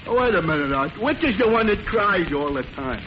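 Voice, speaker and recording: Through a muffled, hissy vintage radio recording comes a dim-witted, amiable fellow. His voice sits high, and he stumbles along dopily, repeating himself in broad 1940s comedy fashion. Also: big pitch swings, fairly quick. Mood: amused, dopey. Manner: dopey, hesitant, repeats himself. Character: dim-witted, good-natured slow-thinking male barfly.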